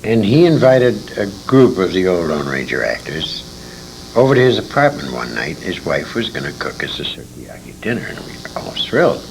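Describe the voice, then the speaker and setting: A man's voice: low-pitched, very animated, moderate pace, gravelly. A man in his sixties telling detailed, emphatic anecdotes with asides, as a veteran radio actor reminiscing in an interview. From born in England, grew up in Detroit, USA. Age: 60s. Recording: noisy 1980s convention interview recording.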